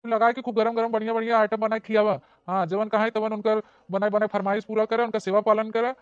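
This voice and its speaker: A woman's voice: on the high side, little pitch movement, fast, smooth, no room sound, lo-fi sound, natural voice. A woman voicing a fatherly village holy man in an Awadhi cartoon comedy, giving insistent, repetitive advice in an Awadhi accent. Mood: instructive, fatherly.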